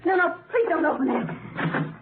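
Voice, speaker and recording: An actress playing a tearful, hysterical younger widow in a 1930s radio drama. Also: female voice, expressive, measured pace. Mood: hysterical terror. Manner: tearful, shrill pleading. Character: hysterical frightened younger wife, newly widowed. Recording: scratchy, noisy, tinny 1939 radio broadcast.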